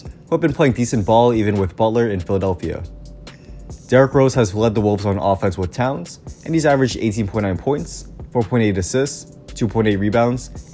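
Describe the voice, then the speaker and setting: This is a young man delivering scripted basketball commentary in a low, mellow voice that still sounds a little rough from a recent flu. He moves steadily through lists of stats in an upbeat tone. Faint music plays underneath. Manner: reads a prepared script with stat lists, upbeat. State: recovering from the flu, voice slightly off. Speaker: sports commentary YouTuber.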